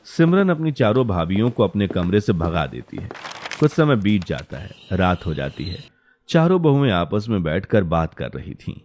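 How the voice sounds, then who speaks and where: A man's voice: low-pitched, sing-song, normal speed, a little mellow. A man narrating a scripted family story as a storyteller.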